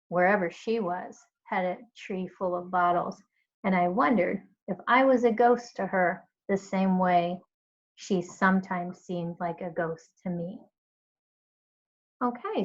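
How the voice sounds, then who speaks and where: A woman speaks as an elementary teacher doing a read-aloud for children. She is unhurried and explanatory, a little hesitant, and the recording is somewhat dull and lo-fi. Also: mid-range voice.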